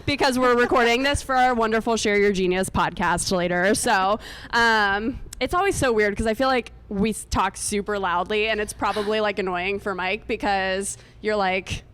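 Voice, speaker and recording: Casual lady hosting a meetup, mid pitch, really clear voice, informal and jokey, talking up into the mic. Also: moderate pace. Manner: chatty, informal, joking; speaking up loudly into a microphone. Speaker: event host and co-founder introducing a speaker. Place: meetup event room with air conditioning. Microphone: event microphone.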